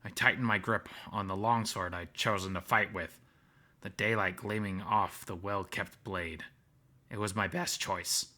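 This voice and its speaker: Man acting a male bat archer, low pitch, tense bright tone, deliberate inner monologue, determined, visual novel. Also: steady tone. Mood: anxious, determined.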